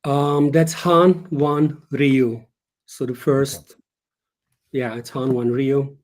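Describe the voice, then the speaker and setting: Earnest man, mellow voice. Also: medium pitch, level tone, slow pace. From Turkey. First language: Turkish. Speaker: guest author. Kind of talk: casual interview over a live stream.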